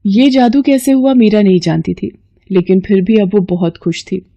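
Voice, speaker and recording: A woman's voice: medium pitch, normal speed, warm tone, no echo, highs cut off. A woman narrator reading a scripted moral story in a dramatic storytelling style.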